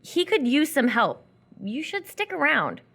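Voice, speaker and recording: A woman's voice: sits high, huge pitch swings, unhurried, gravelly, fairly bright tone, heavily acted. An actress in live radio theatre playing a sardonic, teasing young runaway woman. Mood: easygoing. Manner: teasing, wry.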